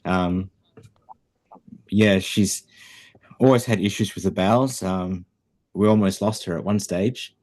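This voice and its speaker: A man's voice: low voice, unhurried, pauses often, darkish tone. A middle-aged man co-hosting a podcast, talking casually with lots of ums and a dry sense of humour. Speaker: podcast co-host. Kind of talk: casual chat.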